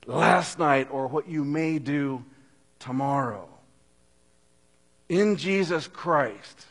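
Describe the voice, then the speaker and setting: Slow, husky preacher man in a hall. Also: fairly high pitch. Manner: earnest, swings from loud emphasis to near-hushed. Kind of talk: sermon. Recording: long hall reverb.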